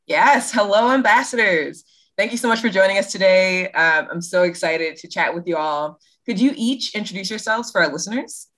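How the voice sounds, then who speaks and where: A woman's voice: mid-range voice, normal speed, a bit bright. Bubbly woman podcast co-host. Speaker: podcast co-host, admissions advisor. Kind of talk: casual podcast chat.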